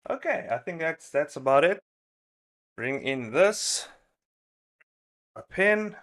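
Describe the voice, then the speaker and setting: A man's voice: on the high side, animated, slow pace, a bit bright. A man talking casually to himself and viewers as a livestream host, in a slangy, muttering way. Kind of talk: casual livestream chat while setting up.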